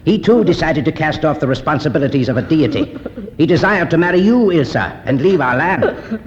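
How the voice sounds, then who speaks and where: A man's voice: fairly high pitch, lively intonation, fairly quick, lightly acted. Man acting a solemn cult leader, cavernous old radio. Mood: solemn, resigned. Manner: formal, declamatory. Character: solemn, commanding male Egyptian cult leader. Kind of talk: 1950s radio drama acting. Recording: muffled, noisy 1950s radio recording, reverberant.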